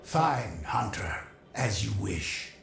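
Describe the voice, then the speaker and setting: Gravelly, haughty AI archivist. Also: male voice, on the low side, sing-song, fairly bright tone, a little hiss or hum, heavily acted. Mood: grudging, ominous. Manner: pompous, deliberate, condescending. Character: haughty, self-important male AI archivist. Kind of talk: video game character dialogue. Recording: echoey processed voice over game music.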